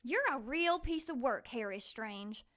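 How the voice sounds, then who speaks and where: An actress playing an excitable, sassy young woman in an audio drama, sarcastic and quick to take offense. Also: fairly high pitch. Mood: indignant. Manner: sarcastic, feisty, fast-reacting.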